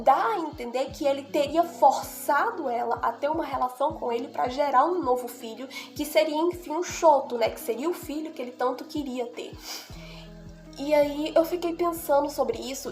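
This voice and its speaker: A woman's voice: high voice, darkish tone. A young woman with a cold and a nasal voice, giving an earnest, heartfelt anime analysis in casual talk, often asking "right?" and "you know?". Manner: earnest, heartfelt, repeats "né?" and "sabe?". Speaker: video creator analyzing anime.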